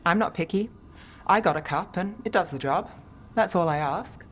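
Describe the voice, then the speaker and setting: A woman's voice: on the low side, moderate pace, slight background noise, natural voice. An actress playing a dry, world-weary woman detective in a video game, wry and understated, with music behind her. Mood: wry, businesslike.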